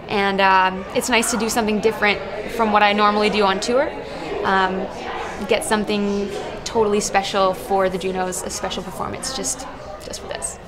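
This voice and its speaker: Musician woman amid crowd chatter. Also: medium pitch. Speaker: musician guest. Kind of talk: backstage interview answers.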